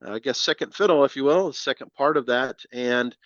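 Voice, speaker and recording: A man in his fifties from Ohio, answering interview questions in a relaxed, plain-spoken way. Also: mid-range voice, brisk, no echo. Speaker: guest, nutritionist. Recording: dry, dull lo-fi sound.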